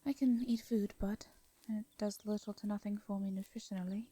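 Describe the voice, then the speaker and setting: A woman with an English accent voicing a gentle, anxious, centuries-old vampire in an intimate fantasy audio roleplay, speaking softly and breathily, with hesitant, formal wording. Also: medium pitch, fairly even intonation, a little fast, husky, natural voice. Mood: flustered, earnest. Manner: hesitant, stammering, formal old-fashioned speech.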